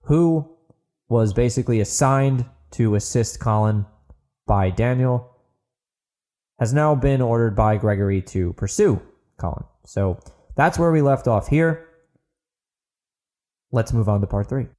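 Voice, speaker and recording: A man's voice: mid-range voice, lively intonation, unhurried, long pauses, a little mellow. A man reading a scripted story as the narrator of an audio book. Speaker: author narrating his audio book podcast.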